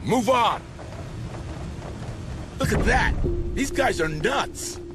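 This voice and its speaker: An actor playing a brash, wisecracking Marine soldier in a video game, exclaiming and sardonic. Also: male voice, high-pitched, animated, very slow, music in the background, exaggerated voice. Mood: alarmed.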